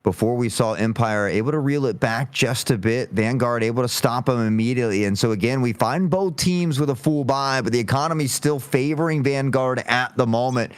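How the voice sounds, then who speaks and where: A man's voice: medium pitch, brisk, hardly any pauses, clear, resonant tone, no room sound. A man giving live analytical commentary on an esports match in a conversational, explanatory way.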